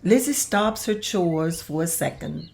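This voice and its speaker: A woman's voice: on the low side, animated, a little slow, vocal fry, a little mellow. A woman reading stage directions as scripted narration at a table read.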